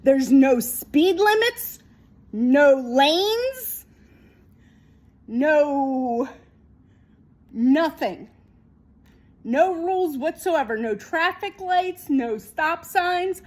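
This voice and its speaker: A woman giving an unscripted opinion monologue in an emphatic, repetitive, halting way. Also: high voice, expressive, darkish tone. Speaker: video commentator.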